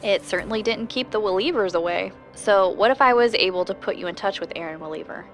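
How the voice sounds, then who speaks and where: Friendly, inquisitive female podcast host, played by an actress, mid-range conversational voice, audio drama over soft music. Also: mid-range voice, quick pace, close to an everyday voice. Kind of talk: scripted podcast narration and audio drama dialogue.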